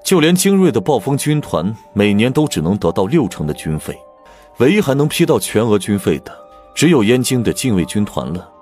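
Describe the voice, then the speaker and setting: An actor playing a grave, troubled imperial prince in an audiobook drama, speaking candidly with measured emphasis. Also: male voice, lowish voice, big pitch swings, darkish tone, soft background music. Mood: weary, confiding.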